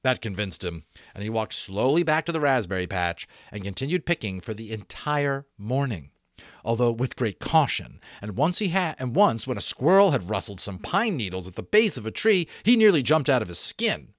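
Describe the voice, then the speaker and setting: A man in his thirties reading a novel aloud as dramatic narration, with deliberate pauses and stressed repeats, close to a microphone. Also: medium pitch, very animated, dry recording. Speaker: audiobook reader. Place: small room at home. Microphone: desk microphone close in front.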